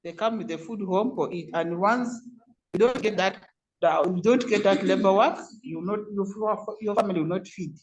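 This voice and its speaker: A man's voice: high-pitched, normal speed, highs cut off. A man with a Ugandan accent telling a personal story in an online meeting.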